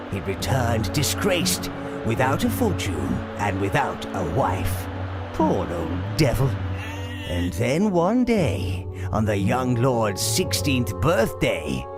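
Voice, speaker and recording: Sly old minion, wildly swooping. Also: male voice, medium pitch, wildly swooping pitch, slow. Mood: mocking, ominous. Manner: sly, theatrical, relishing every word. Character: gleeful, sinister old minion advisor, servant of evil. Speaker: narrator and advisor. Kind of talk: theatrical fantasy game storytelling. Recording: loud music behind. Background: loud music.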